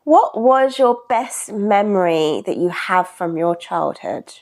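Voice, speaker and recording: A woman's voice: medium pitch, animated, slow pace. A woman casually interviewing her co-host on a podcast, asking questions in a chatty style.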